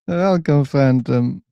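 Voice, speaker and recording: A man hosting a casual live online discussion room, chatty and quick to laugh. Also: a little high, vocal fry, no echo, lo-fi sound. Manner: relaxed, chatty, laughs easily. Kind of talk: casual live-stream chat.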